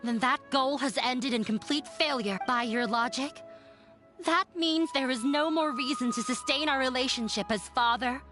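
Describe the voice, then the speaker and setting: A woman's voice: high voice, moderate pace, over music, natural voice. Woman playing a resolute young daughter. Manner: resolute, formal. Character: determined young female daughter.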